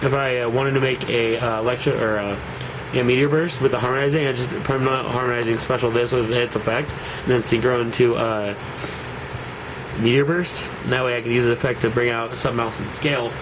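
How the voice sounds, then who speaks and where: A man's voice: medium pitch, steady tone, brisk, noisy background. A young man with a cold and a nasal voice, rambling casually through a card deck review with lots of fillers and restarts. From Seattle area. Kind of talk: casual deck profile vlog.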